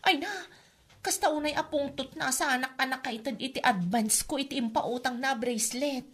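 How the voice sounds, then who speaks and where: High, bright, plaintive indebted wife. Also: female voice, normal speed. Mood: complaining. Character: put-upon indebted wife, defensive and complaining. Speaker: radio drama actress. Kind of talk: Ilocano comedy radio drama acting.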